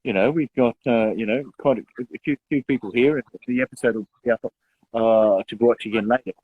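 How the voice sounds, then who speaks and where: A man's voice: medium pitch, fairly quick, husky, phone-quality sound. A man co-hosting a casual video-call chat, asking rambling questions full of fillers. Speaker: co-host.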